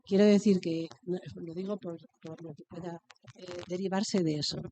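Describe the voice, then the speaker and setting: A woman's voice: fairly low pitch, steady tone, normal speed, dark tone, slight background noise. A woman from Spain, a municipal treasurer, giving off-the-cuff panel remarks, hesitant at first and then earnest and emphatic. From Aranda de Duero, Spain.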